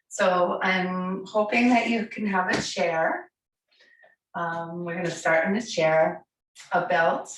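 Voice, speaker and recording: A hoarse woman in her forties with a raspy voice from a cold, gently guiding a yoga class with soft, soothing instructions. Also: on the low side, slight background noise. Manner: slow, soothing, softly encouraging. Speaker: yoga teacher. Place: bright home studio room with large windows. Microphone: camera across the room. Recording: echoey room, voice from far off.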